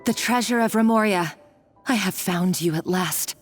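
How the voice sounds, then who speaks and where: A woman's voice: mid-range voice, normal speed, soft background music, natural voice. An actress voicing an unhinged, scheming woman villain in a video game, with a theatrical, gloating delivery. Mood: gloating triumph.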